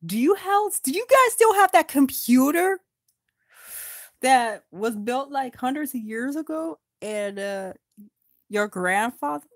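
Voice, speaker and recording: A woman's voice: high voice, lively intonation, slow, no echo, clean studio sound, pitched above the performer's own voice, exaggerated voice. A woman voicing an eager, curious male dwarf asking questions in a raised voice.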